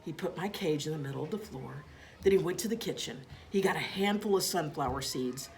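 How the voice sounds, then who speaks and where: A woman reading a children's story aloud as a teacher, in an expressive narrating style. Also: sits low, brisk, creaky, a little hiss or hum.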